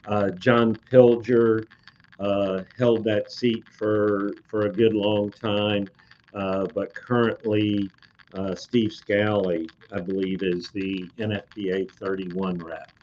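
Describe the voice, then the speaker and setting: Slow, halting man with a dull mic. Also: on the low side, level tone, darkish tone, slight background noise. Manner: slow, halting, many "uh" fillers and restarts. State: slightly hoarse. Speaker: code expert on a live industry webcast. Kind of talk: casual live-stream talk, answering viewer comments.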